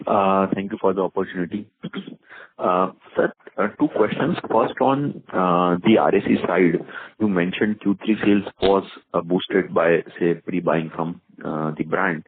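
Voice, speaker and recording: Deep, husky Indian man on the phone. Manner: hesitant, pieced-together questions with frequent filled pauses. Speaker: investment analyst caller. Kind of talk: asking questions on an earnings call. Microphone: telephone. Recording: dry, narrow phone line.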